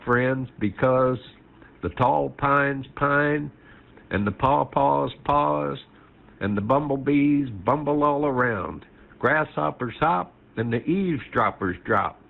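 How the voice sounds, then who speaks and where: A creaky, gravelly American man comes through on thin, phone-like radio audio. His pitch is middling, and he recites in a homespun, measured style, like a folksy radio sign-off. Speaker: ham radio net participant. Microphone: internet-linked ham radio transmission.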